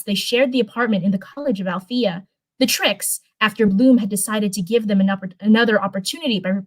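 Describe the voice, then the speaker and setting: Female live-stream reader, mid-pitched, casual story narration with informal, chatty delivery. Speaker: streamer reading fan fiction live.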